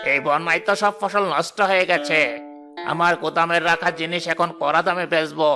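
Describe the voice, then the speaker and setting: A man's voice: on the high side, lightly acted. Man playing a smug, greedy village headman. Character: greedy, scheming rich male village headman. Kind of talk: animated moral story voice acting.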